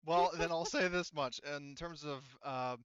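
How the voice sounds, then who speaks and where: A man's voice: a little high, unhurried, a bit bright, clear, resonant tone, no echo, highs cut off. A man working as game master, explaining rules and narrating a tabletop roleplaying game in a rambling, explanatory way.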